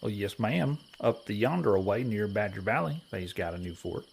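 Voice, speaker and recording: A man's voice: fairly low pitch, lively intonation, moderate pace, gravelly, a little hiss or hum. A man playing a dim-witted, good-natured cowboy prospector in a western audio comedy, with a rural American drawl and folksy bad grammar. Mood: earnest.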